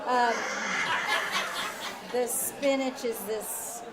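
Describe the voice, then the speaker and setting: A woman playing a sharp-tongued, dryly sarcastic schoolteacher in a live old-time radio comedy. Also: a little high, fairly even intonation, heavy background noise. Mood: wry. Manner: dry, sarcastic quips, brisk. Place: small-town theater stage, live performance. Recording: live theater stage with audience.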